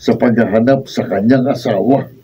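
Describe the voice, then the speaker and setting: A man's voice: medium pitch, moderate pace, mellow, husky, distant mic, lo-fi sound, close to an everyday voice. A Filipino man acting in a radio drama as an easygoing, considerate village salt worker. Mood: mild, concerned.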